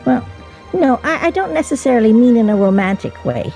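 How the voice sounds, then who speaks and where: A woman's voice: fairly high pitch, big pitch swings, fairly quick, vocal fry, mellow, faint music behind. An actress playing an earnest, self-conscious woman in a radio play, with a hesitant way of talking.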